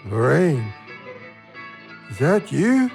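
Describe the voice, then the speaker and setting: Sad drunk big fella in an audio play, super slow, rambly, slurry, bit high pitched. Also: male voice, huge pitch swings, vocal fry, darkish tone, soft background music, full character voice. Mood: pleading. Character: Big Joe, heartbroken drunk man, slow, wounded, thoughtful.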